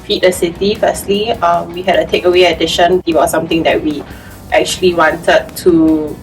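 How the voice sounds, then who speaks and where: A woman's voice: fairly low pitch, steady tone, normal speed. A woman who works at a food bank, reminiscing casually in an interview. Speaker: food bank staff member. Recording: slightly distant mic under background music. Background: background music.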